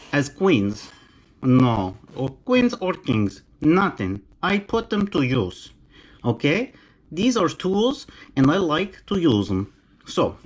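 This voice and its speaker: A middle-aged man from Romania with a Romanian accent, chatting enthusiastically to viewers as he unboxes a knife. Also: a little high. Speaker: knife collector vlogger. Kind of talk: casual unboxing vlog.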